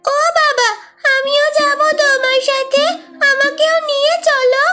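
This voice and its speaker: A woman's voice: very high voice, level tone, unhurried, bright, forceful tone, faint music behind, exaggerated voice. A woman voicing an eager, whiny little village girl in a cartoon. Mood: pleading. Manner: whiny, pleading, inquisitive. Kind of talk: cartoon voice acting.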